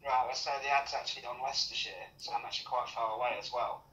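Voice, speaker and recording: A man with an English accent talks politely and matter-of-factly in a casual conversation, heard through a phone loudspeaker. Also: mid-range voice, fairly even intonation, quick pace, highs cut off. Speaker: call recipient, stamp buyer. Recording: distant, noisy, echoey speakerphone.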